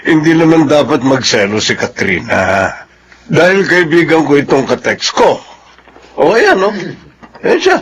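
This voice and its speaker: A man's voice: a little high, sing-song, unhurried, a little hiss or hum. A man playing an agreeable, evasive boyfriend in a Filipino radio drama. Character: agreeable, evasive boyfriend calming his jealous partner.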